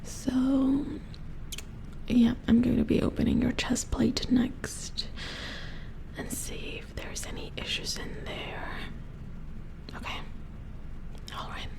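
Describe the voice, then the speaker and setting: A woman playing an attentive, mildly worried robot repair engineer in a soft-spoken ASMR roleplay, murmuring hesitantly in a breathy whisper as she works. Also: high voice, level tone, slow, long pauses, heavily acted, low-quality recording. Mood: focused, concerned.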